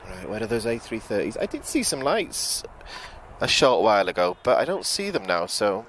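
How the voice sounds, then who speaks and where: A man with a British accent giving relaxed live commentary outdoors at an airport, chatting to viewers with frequent ums and self-corrections. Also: fairly low pitch, normal speed, slight background noise. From United Kingdom. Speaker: live plane-spotting commentator. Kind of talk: live-stream commentary, reading out viewer chat.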